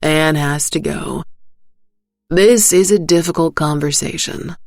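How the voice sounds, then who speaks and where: A woman voicing a large, serious male sheriff in an audio story, speaking in a measured, grave manner. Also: low voice, no echo. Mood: stern, grave.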